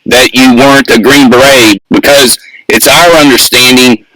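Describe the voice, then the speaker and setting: A man's voice: medium pitch, fairly even intonation, moderate pace, bright, forceful tone, no echo. A man acting as an investigator, asking direct and persistent questions in a phone interview. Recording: distant-sounding phone call.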